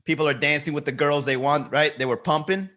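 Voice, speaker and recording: Male comedy podcast host, bright forceful voice, animated riffing, some swearing. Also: fairly high pitch, brisk. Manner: animated, swearing, rhetorical questions. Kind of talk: comedic riffing and lyric reading.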